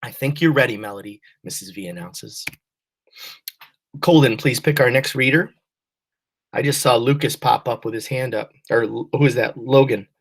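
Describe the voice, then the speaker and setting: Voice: mid-range voice, moderate pace, husky. A teacher reading a children's novel aloud to a class and giving encouraging prompts.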